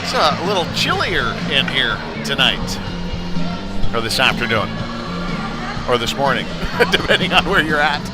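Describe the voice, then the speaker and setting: A man's voice: medium pitch, very animated, moderate pace, pauses often, loud music behind the voice. A man doing casual, conversational live play-by-play commentary of a youth hockey game from a rink, on a headset. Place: chilly ice rink.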